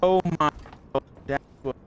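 A man's voice: normal speed, a little hiss or hum. A man hosting a gaming video with casual playthrough commentary, sometimes reading text aloud.

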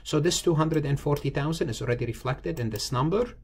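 A man's voice: fairly high pitch, fairly quick, a little mellow, a little hiss or hum. A man giving an informal step-by-step tutorial as a lecturer, often repeating and rephrasing himself in a conversational way. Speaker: accounting lecturer. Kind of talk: informal screen-recorded tutorial, explaining step by step.

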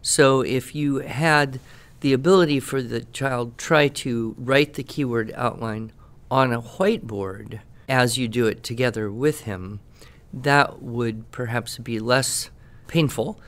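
A man in casual podcast talk, giving teaching advice in a measured, deliberate, dryly playful way. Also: mid-range voice, fairly bright tone. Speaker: podcast guest expert answering questions.

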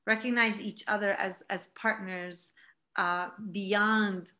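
Guest speaker, woman in her 40s, from Palestine, unhurried and reflective, bright voice, laptop video call. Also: mid-range voice, steady tone. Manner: reflective, many fillers and restarts. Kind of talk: online talk. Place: home room, indoors. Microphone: laptop webcam video call.

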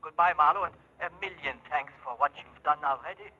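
A man playing an excitable, anxious, formal client speaking over the telephone in a 1940s radio drama. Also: a little high, fairly quick. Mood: grateful, excited. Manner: formal, flustered, repeating himself. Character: excitable, anxious, effusive male client of a detective. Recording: dull old radio, telephone sound.

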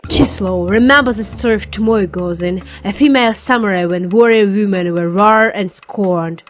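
A woman acts out a proud, controlling mother from a comic dub. The mid-pitched voice is stern and formal, giving orders with authority. Faint music runs behind. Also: normal speed, lightly acted. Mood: solemn. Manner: commanding, formal. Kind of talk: animated comic dub acting.

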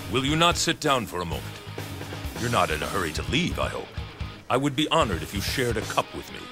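A shrewd, proud warlord of middle age in a game cutscene. His voice is low and courtly, each phrase deliberately placed, over clear background music. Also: sing-song. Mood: smooth, probing. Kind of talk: video game cutscene acting.

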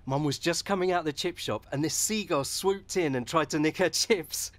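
A man's voice: a little high, moderate pace, dry recording, natural voice. An actor playing a blunt, cheeky young British man in a naturalistic radio soap. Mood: wistful. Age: young adult.

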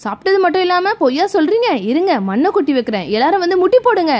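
Woman playing a cranky old granny, very high voice. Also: big pitch swings, racing, a little mellow. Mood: angry scolding. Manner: scolding, threatening. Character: cranky scolding old granny guarding her cherry tree. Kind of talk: children's toy play story.

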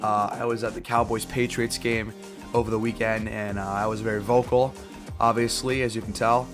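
Kinda hoarse guy narrating a sports game, chill and rambly, gets louder on big plays, game music under him. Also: fairly low pitch, moderate pace. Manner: relaxed, filler-heavy talk, louder and livelier calling plays. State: slightly hoarse. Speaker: gaming YouTuber. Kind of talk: casual gameplay commentary.

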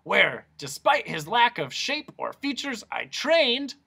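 A man's voice: extremely high pitch, big pitch swings, slow, creaky, bright, forceful tone, breathy edge, pitched above the performer's own voice, full character voice. A man voicing Doctor Discord, a fussy, eccentric male doctor who lectures theatrically. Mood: explaining.

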